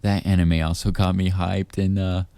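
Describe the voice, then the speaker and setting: Man, dark mellow tone, unhurried, intimate roleplay monologue, teasing, very dry close mic. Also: low voice, flat delivery, vocal fry, clear, resonant tone, dry recording. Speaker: voice actor. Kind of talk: intimate audio roleplay monologue, addressing listener as darling.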